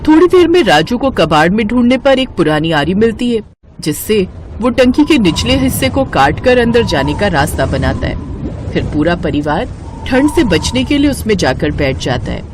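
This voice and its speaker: A woman's voice: mid-range voice, big pitch swings, moderate pace, noisy background. A woman reading a scripted story as its narrator.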